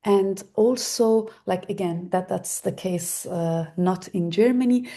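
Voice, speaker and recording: A woman in her forties, a native Persian speaker, giving a webinar talk on medical research in a halting way, with many fillers and restarts. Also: mid-range voice, dark tone. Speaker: webinar presenter. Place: Germany.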